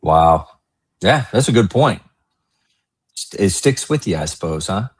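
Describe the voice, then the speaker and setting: Male podcast-style host, husky, animated intonation, informal delivery. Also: deep voice, unhurried. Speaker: interview host. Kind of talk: casual interview.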